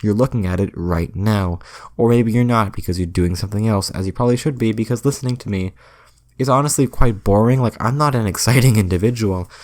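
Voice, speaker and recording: Rambling young man, low voice. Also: a little fast. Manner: rambling, self-deprecating, deadpan jokes with many restarts. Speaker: podcast host. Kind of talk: rambling solo podcast monologue.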